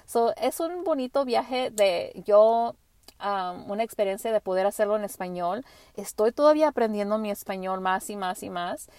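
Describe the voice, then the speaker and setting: A woman whose first language is English hosting her spiritual podcast, talking gently with frequent restarts and fillers. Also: mid-range voice, normal speed, no room sound. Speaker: podcast host. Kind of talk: casual spiritual monologue.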